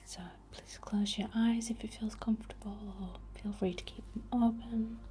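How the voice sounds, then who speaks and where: A woman softly whispering a guided ASMR healing ritual in a breathy, gentle and soothing manner, often repeating words. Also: a little high, steady tone, normal speed.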